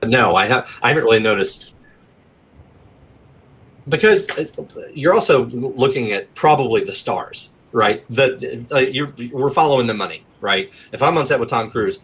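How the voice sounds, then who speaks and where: A man's voice: medium pitch, expressive, normal speed, a bit bright, breathy edge. A man working as an actor, talking casually and self-deprecatingly as an interview guest.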